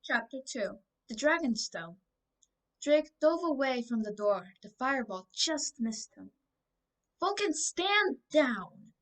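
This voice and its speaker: A woman's voice: on the high side, lively intonation, a little slow, recorded from a distance, low-quality recording. A twelve-year-old girl reading a children's storybook aloud as scripted narration. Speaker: book reader.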